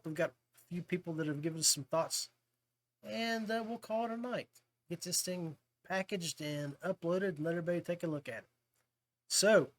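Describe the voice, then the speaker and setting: A man's voice: high-pitched, a bit bright. A man hosting a fishing podcast in casual chat, talking informally like a buddy. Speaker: podcast host.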